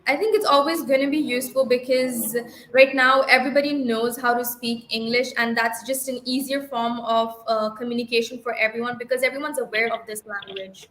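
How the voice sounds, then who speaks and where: A woman's voice: on the high side, steady tone, brisk, a bit bright, reverberant, a little hiss or hum. A young woman from Bangladesh answering practice questions in a speaking exam, fluent but with small self-corrections. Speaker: IELTS practice candidate. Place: livestream.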